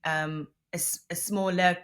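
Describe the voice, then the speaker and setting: A woman's voice: fairly low pitch, steady tone, unhurried, fairly bright tone. Rambling Namibian woman, Afrikaans native. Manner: rambling, self-correcting explanations with many restarts. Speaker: podcast guest. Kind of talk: conversational interview.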